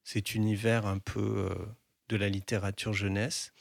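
A man hosting a music radio show in French, chatting casually with a co-host and pausing often with fillers. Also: fairly low pitch, no room sound. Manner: relaxed, hesitant, many pauses and fillers.

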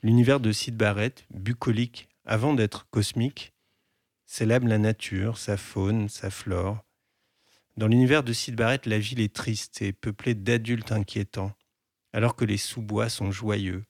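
Gravelly low-voiced man, easygoing radio host. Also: no echo, studio quality. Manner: relaxed, hesitant, many pauses and fillers. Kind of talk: casual music radio chat.

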